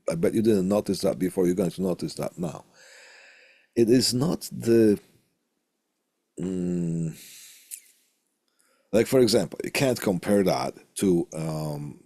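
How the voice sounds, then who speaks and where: A man with a gravelly, husky voice giving an informal recorded lecture as an instructor, halting and hesitant with long pauses, close on a studio condenser mic. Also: fairly low pitch, warm tone, no echo. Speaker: college instructor teaching audio equipment. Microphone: close studio condenser microphone through tube preamp.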